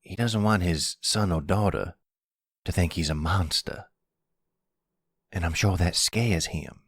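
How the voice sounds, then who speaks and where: A man voices an older father and mob boss in an intimate audio roleplay. The voice is very low and slow, earnest and gentle with a regretful, reassuring air. It sounds close and dry. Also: husky, dry recording. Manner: measured, fatherly, earnest, soft-spoken. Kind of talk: intimate ASMR roleplay acting.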